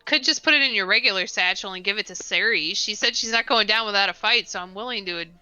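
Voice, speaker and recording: A woman's voice: mid-range voice, fast, sharp tone, clean tone, no room sound, muffled recording, soft background music. A woman from Ohio with a Midwestern accent, a player in a tabletop game, talking in a practical, matter-of-fact way. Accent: Midwestern American. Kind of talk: casual tabletop game chat.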